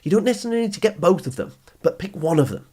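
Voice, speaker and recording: Higher-pitched husky man, encouraging podcast coach. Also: expressive, rapid-fire, dark tone. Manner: encouraging, instructive, addresses listener directly. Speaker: podcast host and coach for teenagers. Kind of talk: motivational educational podcast monologue.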